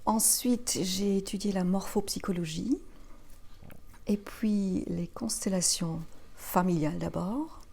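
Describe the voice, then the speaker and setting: A woman in her fifties from Belgium, a native German speaker, answering interview questions as a practitioner in a measured, explanatory way. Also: mid-range voice, gravelly, darkish tone, breathy edge. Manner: measured, explanatory, listing her path step by step. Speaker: guest, family constellation practitioner.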